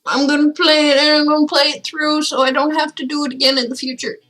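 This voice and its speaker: A woman's voice: high-pitched, level tone, quick pace, fairly bright tone. A woman streaming a game with casual, teasing, irreverent commentary, chatting as she plays.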